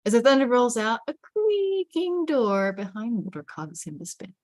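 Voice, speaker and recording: A woman's voice: fairly high pitch, big pitch swings, moderate pace, darkish tone, dry recording, clean studio sound. A woman reading stage directions as dramatic scripted narration, with suspenseful, emphatic phrasing.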